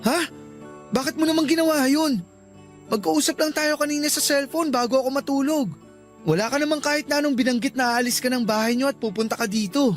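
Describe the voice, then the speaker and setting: A man's voice: extremely high pitch, fairly quick. Man acting as young suitor, earnest, pleading, radio romance drama, faint music behind. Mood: concerned, puzzled. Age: young adult.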